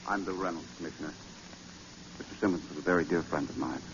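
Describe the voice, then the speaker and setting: An actor playing a sober family friend answering questions, in 1940s radio style. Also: male voice, a bass voice, fast. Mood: subdued. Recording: muffled, noisy old radio recording.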